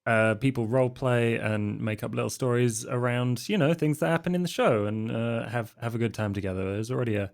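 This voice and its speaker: A man's voice: mid-range voice, steady tone, brisk, creaky, hardly any pauses. A man chatting casually as a cast member on a roleplay podcast. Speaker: podcast cast member who runs community games. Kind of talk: casual chat.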